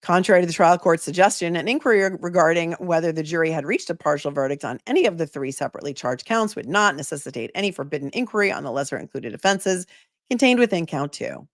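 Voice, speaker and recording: A hoarse woman with a lowish voice reads a legal brief aloud in a measured, emphatic way. She is an attorney who hosts a channel. The sound is dry and close. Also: animated, dry recording. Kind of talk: reading a legal brief aloud, then casual chat.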